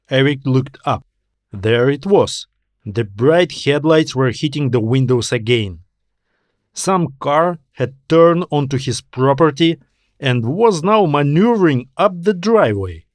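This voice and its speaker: A man reading a scripted story as an audiobook narrator, in a measured, deliberate storytelling manner. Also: medium pitch, lively intonation, dry recording, clean studio sound. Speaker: author narrating own story.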